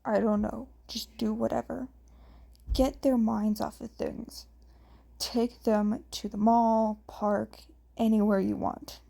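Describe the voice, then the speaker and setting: A woman's voice: fairly high pitch, little pitch movement, slow, gravelly, mellow, full character voice. Woman acting out a grumbling, curt younger brother. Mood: curt, dismissive. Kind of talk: story character dialogue.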